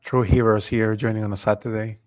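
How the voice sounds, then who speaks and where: Relaxed male co-host from Ecuador, chatty and welcoming on an online call. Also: on the low side, fairly quick. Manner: easygoing, greets attendees by name. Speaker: webinar co-host. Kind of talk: live webinar, casual chat.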